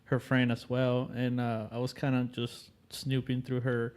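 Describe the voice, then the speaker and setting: A man from Costa Rica, a guest on a podcast, chatting in a relaxed, easygoing way and often trailing off softly. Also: mid-range voice, unhurried, clean tone. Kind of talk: casual interview chat.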